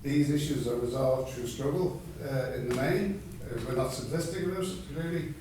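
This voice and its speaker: A man's voice: medium pitch, normal speed, mellow, slight background noise. A man speaking on a public panel, earnest and thinking aloud with frequent uhs and you-knows. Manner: earnest, reasoning aloud with fillers. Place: large meeting hall. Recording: echoey hall, distant mic.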